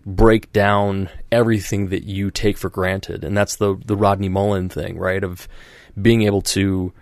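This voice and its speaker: Low-pitched guy rambling on a podcast, lots of likes and you knows, does little voices for quotes, dry sound. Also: flat delivery, unhurried, a little mellow, no room sound. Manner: rambling, many fillers and restarts, acts out quoted voices. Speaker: podcast host. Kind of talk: casual chat.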